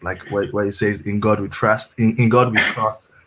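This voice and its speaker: A man's voice: on the low side, fairly even intonation, moderate pace, fairly bright tone. A man moderating a panel discussion in a casual, filler-heavy way.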